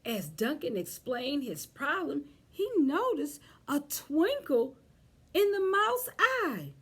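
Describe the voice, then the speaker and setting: Slow, high-voiced woman reading to children. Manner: expressive, addressing young children directly. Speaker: children's librarian leading storytime. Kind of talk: children's storytime read-aloud and chat.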